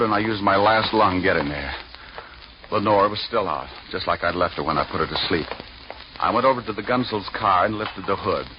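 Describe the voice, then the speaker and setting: An actor playing a hard-boiled private detective, dry, sardonic and slangy, in 1940s radio noir style. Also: male voice, fairly low pitch, lightly acted. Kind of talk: first-person noir narration, 1940s radio acting. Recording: muffled vintage radio recording with music.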